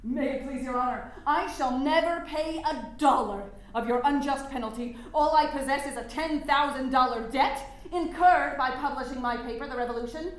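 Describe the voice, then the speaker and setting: An indignant, unyielding suffragist played by a woman addresses a courtroom in a high voice. She declaims with deliberate emphasis, as oratory on a stage. The room is heavily reverberant. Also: lightly acted. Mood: defiant, impassioned. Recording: very echoey hall stage recording.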